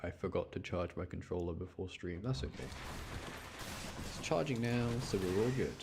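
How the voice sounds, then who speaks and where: A man's voice: low-pitched, moderate pace, creaky, music in the background. A man giving casual live commentary as he streams a video game, rambling and often repeating himself.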